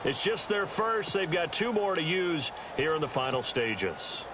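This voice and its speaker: A man's voice: a little high, sing-song, measured pace. A man with an American accent calling football plays in a polished broadcast style, drawing out the big moments. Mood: businesslike. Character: upbeat male American football TV play-by-play announcer. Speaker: play-by-play commentator. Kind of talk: sports commentary. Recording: loud game and stadium noise behind.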